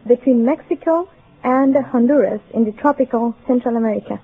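A woman's voice: fairly high pitch, a little fast. A woman of about twenty-one with a Guatemalan accent, a native Spanish speaker, answering questions as a college student contestant. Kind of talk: interview answers. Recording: tinny, noisy old broadcast with audience.

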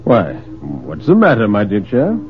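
A man voicing a genteel, courteous titled gentleman playing the attentive host in a dramatic story. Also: lowish voice, huge pitch swings, measured pace, muffled recording, music in the background. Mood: solicitous. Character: suave, solicitous titled gentleman host, calmly scheming.

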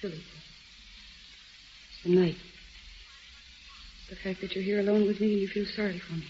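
An actress playing a steady, weary young wife in a 1940s radio drama, composed and quietly dry. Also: female voice, medium pitch, normal speed, natural voice. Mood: tender. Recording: dull old radio recording with light hiss.

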